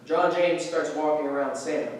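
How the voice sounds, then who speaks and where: High-pitched man narrating in echoey room. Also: normal speed. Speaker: stage directions reader. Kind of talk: scripted narration in staged reading. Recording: distant mic in very echoey room.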